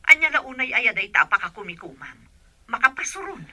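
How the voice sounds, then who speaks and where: A woman playing a nagging, easily offended partner in an Ilocano radio drama, with a husky voice. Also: a little high, big pitch swings, quick pace, pushed and bright, heavily acted. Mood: annoyed, mocking.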